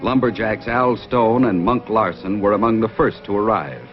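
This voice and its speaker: A man's voice: on the low side, normal speed. Guy narrating old time radio adventure, muffled lofi sound, music behind him.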